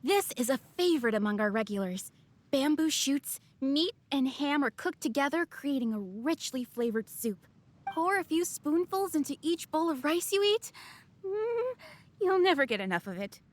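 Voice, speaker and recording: A courteous young server in a game restaurant who presents each dish with eager politeness. Her voice is high and very lively in pitch. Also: moderate pace, slight background noise. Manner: courteous, eager menu descriptions. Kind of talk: video game dialogue.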